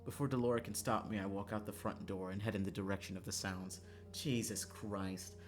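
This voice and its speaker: A man livestreaming a visual novel, moving between casual, profane, joking chat and reading the game text aloud, quick to laugh. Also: lowish voice, steady tone, over music. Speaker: streamer playing a visual novel.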